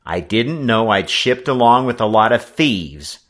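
A stubborn, righteous boy, voiced by a male narrator in an adventure story. He speaks earnestly and indignantly, with emphatic, lively swings of pitch. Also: moderate pace. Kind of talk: audiobook character acting.

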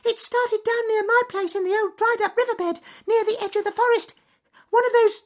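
A man voicing a frightened little male field mouse, panting and gasping in a high squeaky voice.

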